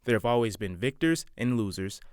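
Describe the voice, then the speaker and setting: A young man reading a scripted sports feature voice-over as student reporter, in a measured, dramatic delivery. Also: lowish voice, lively intonation, dry recording, studio quality. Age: young adult.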